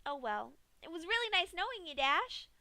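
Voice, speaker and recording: A woman's voice: very high voice, big pitch swings, normal speed, pushed and bright, no echo, pitched above the performer's own voice, full character voice. A woman voicing a manic, cheerfully cruel female pink pony in a fan story reading, bubbly and singsong. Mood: mock-sorry. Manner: bubbly, singsong, punning playfully.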